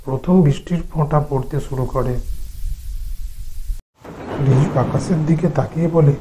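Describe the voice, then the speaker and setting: A man's voice: fairly high pitch, measured pace, warm tone, low-quality recording. A man narrating a scripted horror cartoon story.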